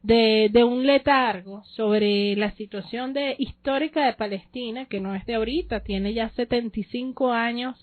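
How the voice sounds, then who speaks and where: A woman's voice: on the high side, normal speed, hardly any pauses. A woman hosting a political debate show, speaking in a pointed, emphatic way. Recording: dry tinny phone-like sound.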